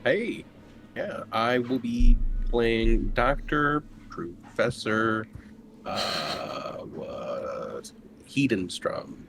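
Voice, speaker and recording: A man chatting casually and haltingly as a player on a tabletop game podcast. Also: lowish voice, expressive, gravelly, music in the background. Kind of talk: casual chat.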